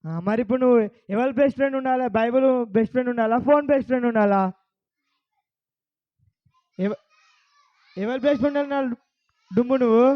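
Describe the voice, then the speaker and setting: Guy running a kids puppet show, highish voice, keeps quizzing the kids, muffled sound. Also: fast, long pauses. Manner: questioning children, repetitive. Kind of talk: kids' bible puppet show.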